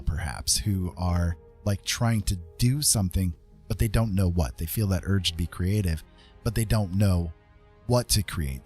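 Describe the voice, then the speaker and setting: A man's voice: on the low side, a little mellow. A man hosting a casual drawing tutorial, thinking aloud in a rambling, informal way with frequent tag questions. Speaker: art tutorial host.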